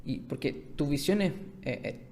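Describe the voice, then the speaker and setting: A man's voice: a little high, lively intonation, moderate pace, darkish tone, a little hiss or hum. A man from Chile hosting a podcast interview over a video call, joking and laughing often. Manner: laughs often, jokes, restarts words. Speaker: podcast host.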